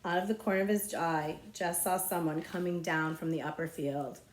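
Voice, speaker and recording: A woman narrating a children's novel aloud as a librarian would, with expressive storytelling. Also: on the low side, moderate pace. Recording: echoey, roomy sound.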